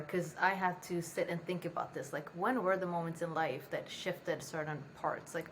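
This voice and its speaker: A young woman, raised in Sweden, chats as a podcast guest in a relaxed, candid way, swearing without a second thought. Her voice sits fairly low. There is a faint noise under her remote call. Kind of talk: casual interview conversation. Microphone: remote call from home.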